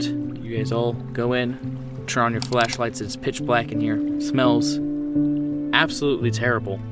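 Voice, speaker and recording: Male game master narrating over music. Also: medium pitch. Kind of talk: narrating a tabletop horror game.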